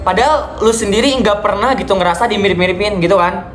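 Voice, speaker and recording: A man's voice: high-pitched, quick pace, a bit bright. A young man hosting a casual video call chat, talking to his viewers and encouraging his guest. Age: young adult. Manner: chatty, addresses viewers as guys, encouraging. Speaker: vlogger host. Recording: echoey room with faint music.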